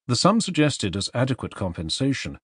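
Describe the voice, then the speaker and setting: A man reading a non-fiction audiobook in a measured, articulate and emphatic way. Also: mid-range voice, big pitch swings, husky, dry recording, clean studio sound. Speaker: audiobook narrator. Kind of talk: scripted narration of non-fiction.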